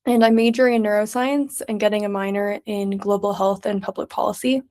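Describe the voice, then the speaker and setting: A young woman from New York City, a student intern, giving a prepared slideshow presentation in a clear, methodical way. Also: mid-range voice, little pitch movement, brisk, darkish tone. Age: young adult.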